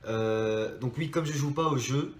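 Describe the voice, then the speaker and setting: A man's voice: mid-range voice, measured pace, echoey room. French man with a stuffy, blocked nose. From France. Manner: rambling, many hesitations and restarts. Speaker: drawing channel host. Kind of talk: casual chat to subscribers.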